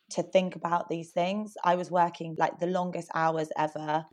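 A young woman with a croaky voice, chatting earnestly as a podcast guest. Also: deep voice, darkish tone. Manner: chatty, earnest, fillers like "like" and "sort of". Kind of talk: casual interview chat.

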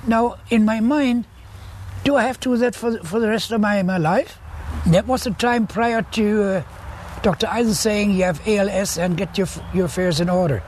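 A man's voice: high-pitched, moderate pace, darkish tone, slight background noise. An elderly man in his eighties telling his story as a documentary testimonial. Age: 80s.

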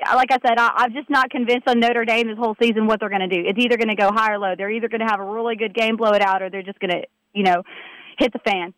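A woman's voice: fairly high pitch, fairly even intonation, racing, fairly bright tone, dry recording, rough recording. A woman on a sports betting panel, rambling through her picks and repeating herself. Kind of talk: casual sports talk.

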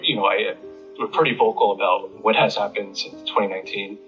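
An American man giving a reflective interview, halting as he searches for words. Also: lowish voice, fairly even intonation. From United States. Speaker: interviewee, independent bookstore owner. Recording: slightly muffled, music behind.

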